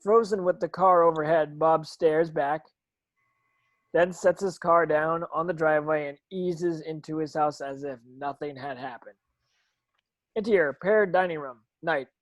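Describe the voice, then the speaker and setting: A woman's voice: deep voice, measured pace, no room sound. A woman reading script stage directions as narration, with occasional stumbles over the words.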